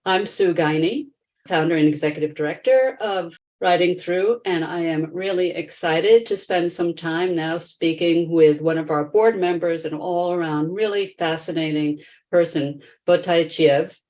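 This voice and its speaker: A woman's voice: on the low side, unhurried, a little mellow. A woman hosting a podcast, introducing and questioning in a conversational interview style. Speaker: podcast host, nonprofit founder.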